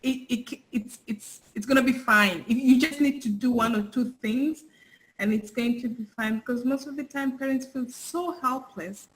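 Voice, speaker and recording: A woman's voice: a little high, normal speed, fairly bright tone, low-quality recording. A middle-aged woman from Africa whose first language is Swahili, speaking earnestly and from the heart as an interview guest, often repeating her words. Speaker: guest, parent. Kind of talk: casual interview chat.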